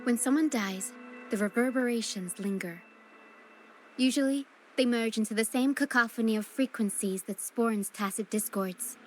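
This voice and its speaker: A woman playing a caring, solemn young woman in a video game, speaking in a measured and earnest way. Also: fairly high pitch, background noise, close to an everyday voice. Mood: solemn, explanatory. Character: caring young woman guiding through a ghostly mist, solemn and gentle. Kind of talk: scripted video game acting. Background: game music.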